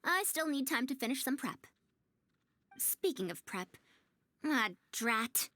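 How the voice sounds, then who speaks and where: A woman's voice: high voice, expressive, takes it slowly, bright, forceful tone, dry recording, exaggerated voice. A woman playing a prim, proud but kindly young-sounding researcher in a video game, speaking formally and articulately in wordy, old-fashioned phrases. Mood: playfully exasperated. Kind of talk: scripted video game character dialogue.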